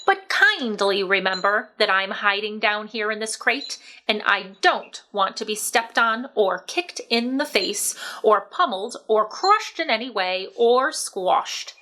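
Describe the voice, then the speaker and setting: A woman's voice: medium pitch, lively intonation, bright, forceful tone. A woman voicing a self-important, sardonic male rat who complains at length in a storybook. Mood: grumpy, sarcastic. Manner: wordy, sardonic complaining, drawn-out delivery.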